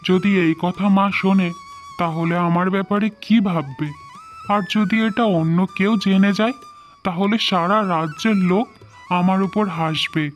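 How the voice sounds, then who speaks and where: A man's voice: high voice, steady tone, measured pace, faint music behind. A man voicing a proud, determined young suitor in a fairy-tale cartoon, speaking earnestly. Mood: wounded, determined.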